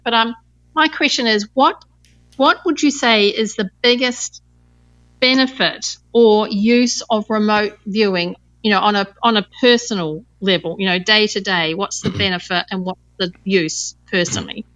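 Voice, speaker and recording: A woman's voice: mid-range voice, moderate pace, a bit bright, low-quality recording. A woman from New Zealand with a New Zealand accent, asking a guest a question in a friendly, hesitant way. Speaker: audience member asking a question.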